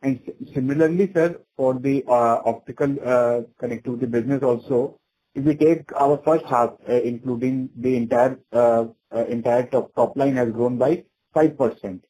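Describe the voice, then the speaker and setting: A man, an investor caller, asking questions on an earnings call deferentially and very haltingly. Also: mid-range voice, fairly even intonation, warm tone, rough recording.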